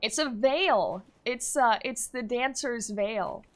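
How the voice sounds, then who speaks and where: A woman's voice: on the high side, takes it slowly. A young woman from Norway chatting casually with her chat while streaming a game, answering questions with frequent fillers and small laughs.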